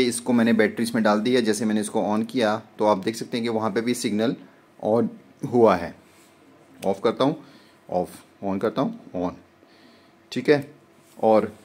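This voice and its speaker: A man's voice: medium pitch, darkish tone. A man in his thirties with an Indian accent explains gear step by step in a casual vlog style, recorded indoors on a phone. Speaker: vlogger and musician. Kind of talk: casual product demo vlog. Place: small home studio room, indoors.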